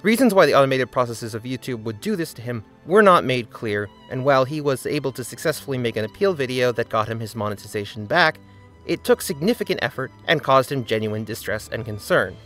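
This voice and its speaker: A man's voice: mid-range voice, lively intonation, brisk, a bit bright, faint music behind. A man narrating a scripted video essay, with earnest, critical commentary.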